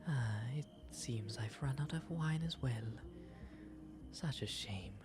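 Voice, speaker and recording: A man voicing a refined, gentle owl demon gentleman in a soft, breathy ASMR roleplay, speaking with courteous, eloquent phrasing. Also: medium pitch, music in the background, lightly acted. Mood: warm, shy. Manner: genteel, eloquent, unhurried phrasing. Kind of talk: ASMR roleplay, intimate character acting.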